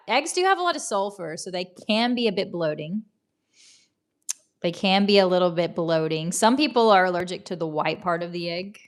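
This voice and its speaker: A woman in her thirties from Tennessee with a Southern American accent, hosting a casual livestream, chatting, laughing often and greeting viewers by name. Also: mid-range voice. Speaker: livestream host. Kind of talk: casual chat reading comments.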